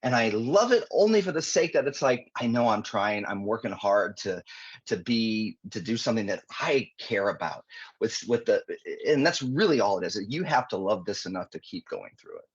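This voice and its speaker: Male guest author, earnest and animated, husky tone, dull lo-fi sound. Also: lowish voice, normal speed. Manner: earnest, animated, frequent restarts. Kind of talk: podcast interview answers. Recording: dull lo-fi audio.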